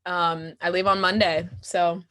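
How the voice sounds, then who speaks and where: A woman's voice: on the low side, unhurried. An American woman speaking casually and giggly, answering questions after a show as an actor and playwright. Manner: giggly, animated, informal. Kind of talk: casual post-show talk.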